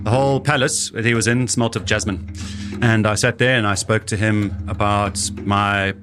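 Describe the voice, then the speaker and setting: Male expedition leader, interview storytelling, fairly low voice with music underneath. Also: steady tone, normal speed.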